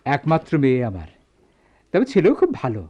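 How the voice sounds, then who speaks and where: Animated middle-aged shop boss, muffled recording. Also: male voice, mid-range voice, brisk, slightly rough. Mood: businesslike. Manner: emphatic, theatrical. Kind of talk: Bengali radio drama acting. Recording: dull lo-fi radio drama sound.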